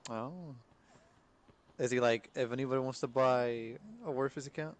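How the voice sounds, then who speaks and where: Casual man with a medium-pitched voice. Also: normal speed. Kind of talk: casual chat.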